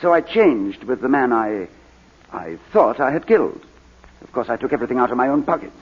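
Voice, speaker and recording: An actor playing an anguished British gentleman and war veteran giving halting, stammering testimony in a 1940s radio drama. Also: medium pitch, lively intonation, slightly rough. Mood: haunted. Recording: dull, lo-fi 1940s radio recording.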